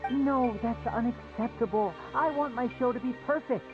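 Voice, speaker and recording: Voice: mid-range voice, normal speed, muffled recording, natural voice. An actor as a showy young stage magician, music behind. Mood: breezy reassurance. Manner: showy, breezy patter. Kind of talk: theatrical video game voice acting.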